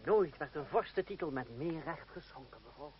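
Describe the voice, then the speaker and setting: Actor as high-voiced, slyly polite gentleman fugitive. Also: lively intonation, moderate pace, over a noisy crowd, close to an everyday voice. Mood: wry, courteous. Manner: courteous, hesitant, wry. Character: slim, quick-witted fugitive gentleman, polite, slyly ironic. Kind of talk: radio play acting. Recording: muffled old radio play, background voices.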